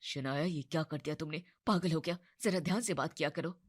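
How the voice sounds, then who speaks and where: A woman's voice: deep voice, a little fast, slightly rough, no room sound, studio quality, pitched below the performer's own voice. A woman voicing a flustered, anxious young man who keeps pleading and worrying. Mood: panicked.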